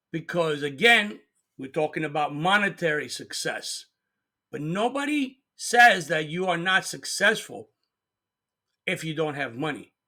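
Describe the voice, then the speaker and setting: Middle-aged guy giving a pep talk, bright forceful voice, kinda high. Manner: earnest, emphatic, repeats words when stressing. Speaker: host. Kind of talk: motivational talk to camera.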